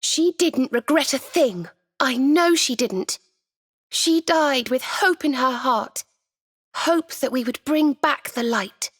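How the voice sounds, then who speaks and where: A high-voiced fantasy heroine, earnest and resolute. She speaks slowly and expressively, with music behind her in a game scene. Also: expressive, exaggerated voice. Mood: earnest, grieving. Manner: earnest, incantatory, firm. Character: devout, resolute young woman banishing a phantom.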